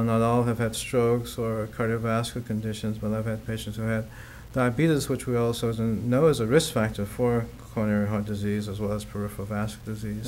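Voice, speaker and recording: Male doctor doing a testimonial, lowish voice, formal and clinical, bit of background noise. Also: darkish tone. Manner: measured, formal, clinical wording. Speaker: physician giving a testimonial. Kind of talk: unscripted interview testimonial.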